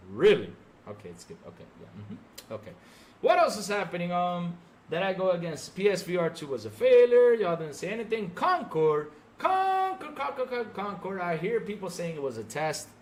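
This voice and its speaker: Animated, emphatic male podcast host, higher-pitched. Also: slight background noise. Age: middle-aged. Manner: emphatic, sarcastic, mimics fans mockingly. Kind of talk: animated gaming rant to a live chat.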